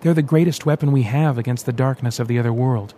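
A man voicing an excitable young male technician who explains his gadgets eagerly. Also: mid-range voice, fast, slight background noise, natural voice. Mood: earnest.

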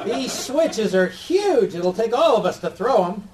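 An actor playing an excitable, eccentric male doctor and mad scientist in a live comedy radio play. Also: high voice, a little slow, far from the mic, over a noisy crowd. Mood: wry, fussy. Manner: excitable, swooping delivery. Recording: live hall with audience noise.

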